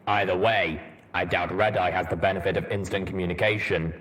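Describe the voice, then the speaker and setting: A man voicing a stern, analytical armored stallion soldier in a story reading, speaking deliberately. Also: low voice, steady tone, fairly bright tone. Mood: grave, analytical. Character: grave armored male pony soldier, measured and analytical. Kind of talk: dramatised audiobook reading.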